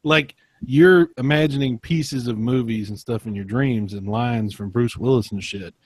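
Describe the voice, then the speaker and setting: A man with an average-pitched voice chats informally on a podcast panel. He sounds close and dry, with no room around him. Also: normal speed, a little mellow, slightly rough, no echo. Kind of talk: casual chat.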